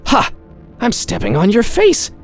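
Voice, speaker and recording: A man's voice: high-pitched, huge pitch swings, measured pace, gravelly, faint music behind, pitched above the performer's own voice, exaggerated voice. A man voicing a panicky, foul-mouthed story character who talks exclamatorily to himself. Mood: jittery disgust. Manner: exclamatory self-talk, swearing. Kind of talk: acted story dialogue.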